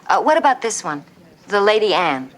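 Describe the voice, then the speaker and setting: An actress playing an anxious, insistent wife in a vintage television drama. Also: female voice, medium pitch, sing-song, moderate pace, close to an everyday voice. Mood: anxious, pleading. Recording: noisy old television soundtrack.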